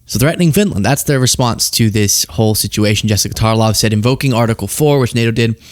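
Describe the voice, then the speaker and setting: A man's voice: medium pitch, fast, dry recording, studio quality. Sarcastic male political commentator. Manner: sarcastic, laughs often.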